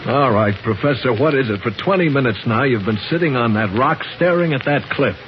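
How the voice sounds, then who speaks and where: An actor playing an eager, curious and somewhat skeptical novice explorer, full of questions, in 1950s radio drama style. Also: male voice, medium pitch, expressive, brisk, lightly acted. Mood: puzzled, curious. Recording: muffled, noisy old radio recording.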